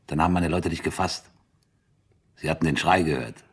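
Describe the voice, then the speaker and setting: Deep husky bullying interrogator captain. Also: male voice, normal speed. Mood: accusing, taunting. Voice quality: low, husky. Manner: commanding, sardonic, bullying. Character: harsh, impatient military captain interrogating a prisoner. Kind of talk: radio drama acting.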